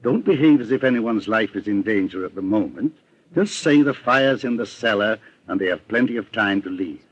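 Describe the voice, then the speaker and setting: Shrewd middle-aged detective boss, American, husky and measured, vintage radio drama with muffled sound. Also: male voice, lowish voice, lively intonation. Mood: calm, commanding. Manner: measured, deliberate, composed. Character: shrewd, calm, authoritative male private detective, the boss. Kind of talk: old-time radio detective drama acting.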